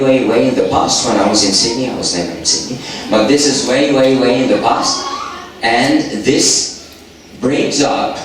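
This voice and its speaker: Man, Arabic accent, unhurried church lecturer, old distant hall recording with a hiss behind. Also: medium pitch. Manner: slow, repetitive, asks the audience questions. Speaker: lecturer on parenting. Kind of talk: church talk to an audience.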